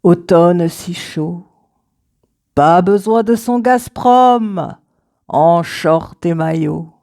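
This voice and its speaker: Very slow, mellow woman reciting poetry. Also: on the low side. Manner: measured, deliberate phrasing with dramatic pauses. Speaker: poet reading own work.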